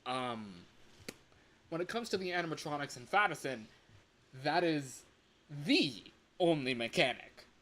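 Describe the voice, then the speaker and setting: A man hosting a casual livestream, rambling in an animated way, exclaiming and often breaking off or repeating his words. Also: a little high, a little slow, long pauses, a little hiss or hum. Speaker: livestream host, game developer. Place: room at home.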